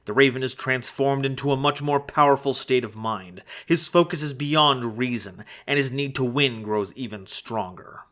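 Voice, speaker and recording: A man's voice: medium pitch, moderate pace, hardly any pauses, sharp tone. A man giving dramatic scripted narration for an audio drama. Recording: music behind the voice.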